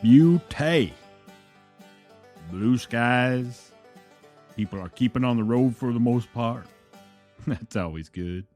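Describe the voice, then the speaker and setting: Man playing a slow, swooping, sardonic radio DJ. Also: medium pitch, expressive, a little mellow, soft background music. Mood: breezy, wry. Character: laid-back, sardonic future radio disc jockey. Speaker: radio host. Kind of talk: loose radio DJ patter.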